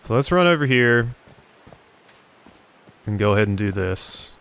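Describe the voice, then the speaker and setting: A man's voice: lowish voice, lively intonation, a little slow, vocal fry, a bit bright, clear, resonant tone. A man doing casual let's play commentary, thinking aloud as he plays.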